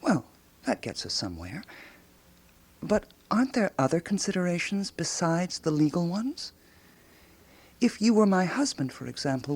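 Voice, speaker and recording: Radio drama intellectual: self-assured woman, husky low voice with swooping intonation, musing and inquisitive. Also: a little mellow, heavily acted. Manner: musing, probing, deliberately questioning.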